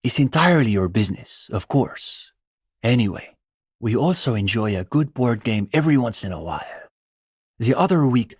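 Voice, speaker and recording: Earnest, pretentious student radical, husky voice. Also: male voice, medium pitch, darkish tone, no room sound, studio quality. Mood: politely welcoming. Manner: bookish, opinionated, academic phrasing. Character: earnest, pretentious young male communist student intellectual. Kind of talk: video game character dialogue.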